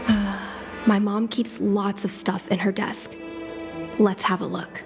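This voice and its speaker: A woman's voice: mid-range voice, level tone, slow pace, creaky. A woman voicing an earnest, thoughtful young girl in a video game, with some hesitation in her speech. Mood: curious, searching. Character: earnest young girl, daughter longing to be a pilot. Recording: clean game dialogue over background music.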